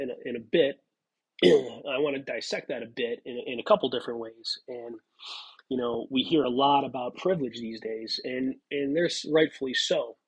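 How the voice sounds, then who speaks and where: Husky man with a stuffy cold. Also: medium pitch, poor audio. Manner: reflective, rambling, many fillers and restarts. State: stuffy from a cold, clears throat. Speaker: podcast host. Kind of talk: casual solo podcast monologue.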